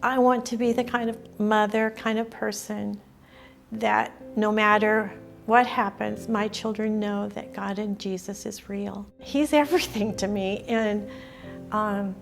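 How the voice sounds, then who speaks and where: A woman's voice: mid-range voice, steady tone, measured pace, vocal fry. A woman in her sixties telling personal stories as a missionary in a documentary interview. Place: bright room at home. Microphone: lapel mic. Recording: music behind the voice.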